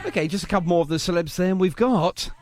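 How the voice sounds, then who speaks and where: A man's voice: sits high, fast. A man hosting a radio show in a chatty, jovial, bantering manner. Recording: music playing underneath the voice. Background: music bed.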